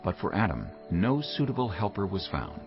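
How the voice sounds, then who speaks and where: A male narrator reads scripture as solemn storytelling. His voice is low and moves expressively through each phrase. Music sits clearly behind him. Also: sing-song, normal speed. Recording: dull muffled audio under music.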